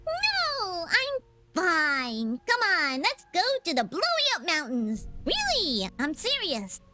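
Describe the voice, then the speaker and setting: A woman's voice: very high-pitched, huge pitch swings, takes it slowly, very noisy, full character voice. A woman voicing a feisty, cute small flying fox companion in a video game, sassy and emphatic. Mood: exasperated.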